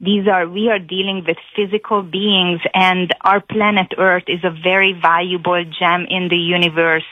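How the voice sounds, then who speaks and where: A woman's voice: lowish voice, little pitch movement, no echo. Earnest Hungarian-accented woman on a phone line. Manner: earnest, halting with many fillers. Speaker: caller. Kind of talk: call-in radio talk.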